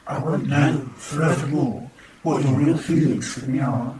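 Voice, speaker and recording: A man voicing a grumpy, weary antlered alien actor, speaking in a slow, sarcastic and detached manner in a story reading. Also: medium pitch, steady tone, far from the mic, natural voice. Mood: hurt, doubtful. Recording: noisy, roomy amateur recording.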